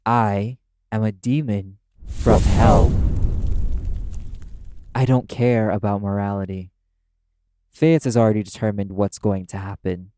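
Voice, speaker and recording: A brash male demon acted by a man in an audio roleplay. The voice sits low, with a mellow, dark tone. He is cynical and scornful, swearing bluntly at whoever he addresses. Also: steady tone, a little slow, pauses often, close to an everyday voice. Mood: cynical, dismissive.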